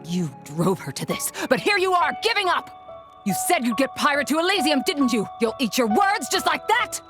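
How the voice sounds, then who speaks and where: A woman's voice: high-pitched, big pitch swings, normal speed, over music. A woman voicing a stern, intense young heroine in a video game, challenging and reproachful. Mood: indignant.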